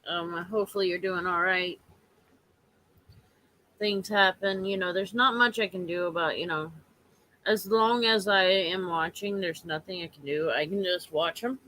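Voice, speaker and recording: A woman whose voice is going hoarse from allergies chats on a casual home live stream. She speaks in a halting, earnest way, circling back and repeating her points as she talks to viewers. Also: fairly low pitch, fairly even intonation, bright, forceful tone.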